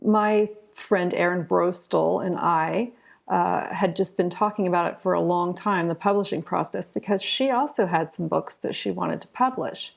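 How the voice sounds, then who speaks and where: Middle-aged woman, American accent, creaky voice, chatty interview guest, informal storytelling. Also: fairly low pitch, moderate pace. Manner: informal, chatty, explains through anecdotes. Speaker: interview guest, children's author and illustrator. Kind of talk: conversational interview answers, storytelling.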